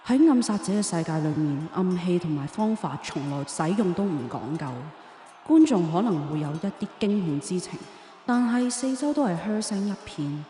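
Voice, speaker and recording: A woman's voice: lowish voice, lively intonation, normal speed, dark tone, slight background noise, close to an everyday voice. A woman playing a cold-blooded young assassin princess in a Chinese radio drama, cool, detached and theatrical. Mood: cold, determined. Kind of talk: Chinese radio drama acting with first-person narration. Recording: roomy long reverb, faint music behind.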